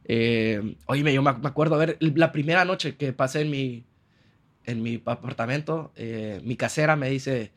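A man's voice: mid-range voice, normal speed, a bit bright. A man with a Honduran accent talking casually as a guest, retelling personal stories with quoted dialogue and slangy asides. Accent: Honduran Spanish. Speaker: podcast guest, trained architect. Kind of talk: casual conversational storytelling.

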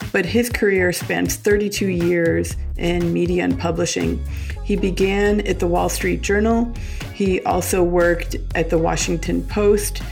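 A woman's voice: lowish voice, gravelly, a little mellow. A woman hosting a business podcast, reading a polished intro and then interviewing a guest. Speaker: podcast host.

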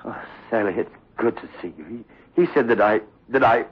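Tormented young male lead for old-time radio horror: rough-edged voice, overwrought pleading, stammer, dull old recording. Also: lowish voice, a little fast. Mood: anxious, relieved. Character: tormented, obsessive young man haunted by nightmares. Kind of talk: 1960s radio horror acting.

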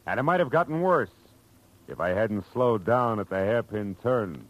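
A man's voice: lowish voice, lively intonation, normal speed, lo-fi sound, natural voice. An American actor playing a weary, wry hard-boiled male private detective, terse and slangy in 1940s radio style. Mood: hard-boiled teasing. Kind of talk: 1940s radio acting with first-person narration. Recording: old radio drama recording with music.

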